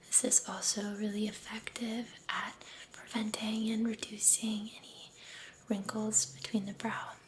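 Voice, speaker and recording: A soft-spoken woman doing an ASMR spa role-play as an aesthetician, breathy and often whispering, gentle, soothing and encouraging. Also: medium pitch, little pitch movement, unhurried, slight background noise, low-quality recording. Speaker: ASMR creator playing a med spa aesthetician.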